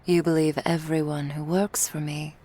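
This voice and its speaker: A woman playing a cool, menacing female vampire crime boss in an ASMR roleplay, speaking in a measured, authoritative way. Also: low voice, little pitch movement, warm tone. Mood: coolly suspicious.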